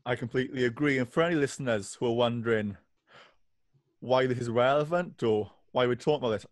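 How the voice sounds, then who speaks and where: A man's voice: mid-range voice, quick pace, dry recording. A young man hosting a podcast interview, earnest and agreeable, on a studio mic over a video call. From Wales. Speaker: podcast host. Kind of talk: interview questions. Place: indoor room. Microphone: studio microphone on video call.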